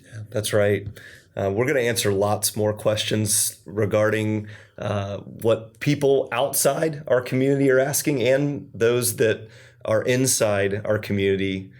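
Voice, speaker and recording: A man's voice: on the low side, steady tone, unhurried. A man co-hosting a local community podcast, upbeat and promotional, with fillers and restarts. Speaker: podcast co-host.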